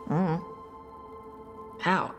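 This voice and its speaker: A woman playing a blunt, brash young warrior with fire magic, talking gruffly and casually in a video game cutscene. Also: drawn-out words, faint music behind. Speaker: party companion.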